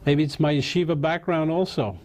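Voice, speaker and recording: A man's voice: a little high, steady tone, brisk, background noise. A man hosting a talk show, chatting with his guest in a loose, teasing way, trailing off with fillers. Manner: conversational, teasing, rambling with fillers. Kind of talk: casual interview chat.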